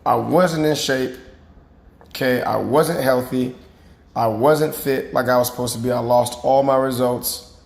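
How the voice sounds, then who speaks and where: A man with a raspy, hoarse voice, a fitness coach talking casually and earnestly to his viewers, often checking in with "right?" and "okay?". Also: medium pitch, fairly even intonation, a little slow. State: hoarse after boot camp. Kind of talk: casual talk to camera. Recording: roomy recording.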